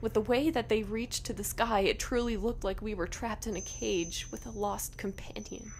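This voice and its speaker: A woman reading a first-person horror story in a dramatic, emotive, breathy delivery. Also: medium pitch, steady tone, moderate pace, a little hiss or hum.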